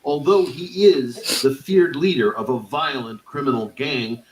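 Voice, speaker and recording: Guy doing news narration, steady and measured, roomy echoey playback. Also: medium pitch, a little hiss or hum. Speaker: news reporter.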